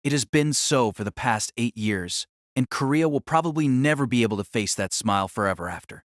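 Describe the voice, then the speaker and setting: A man narrating a novel in a solemn, theatrical style with deliberate phrasing. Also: medium pitch, lively intonation, no echo, studio quality. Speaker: audiobook narrator. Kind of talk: dramatic scripted novel narration.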